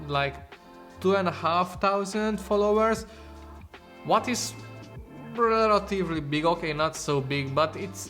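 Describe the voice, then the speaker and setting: A man's voice: on the high side, a bit bright. A young man from Hungary with a Hungarian accent, speaking English as a second language in an earnest, informal vlog about his photography channel. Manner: earnest, informal, non-native phrasing. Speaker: photography YouTuber. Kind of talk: casual talking-to-camera vlog.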